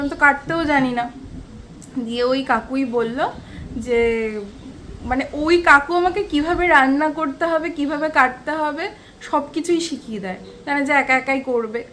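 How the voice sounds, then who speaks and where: A woman's voice: high-pitched, fairly bright tone. A woman with a West Bengali accent telling everyday stories casually to viewers in a chatty home vlog. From West Bengal, India. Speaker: vlogger. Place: small one-room home. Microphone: handheld camera at arm's length. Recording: faint background noise.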